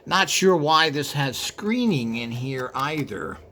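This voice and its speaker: A man's voice: medium pitch, expressive, slow pace, bright, forceful tone, a little hiss or hum. A man presenting an informal show-and-tell in a hesitant, rambling way, recorded indoors behind a handheld camera.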